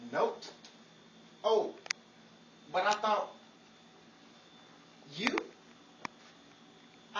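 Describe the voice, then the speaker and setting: An actor playing an excitable, blustering man who stammers in a hammy 1940s radio comedy. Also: high voice, heavily acted. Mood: flustered pleading. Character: Henry, excitable, put-upon comic man in 1940s Hollywood. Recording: roomy, distant mic, very noisy with crowd.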